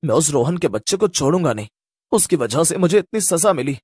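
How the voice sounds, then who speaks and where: A man's voice: on the high side, big pitch swings, fairly quick, darkish tone, slightly rough, no echo, clean studio sound. A man voicing a cocky, hot-tempered young village boy who talks in a brash, boastful way in a cartoon story. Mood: resentful.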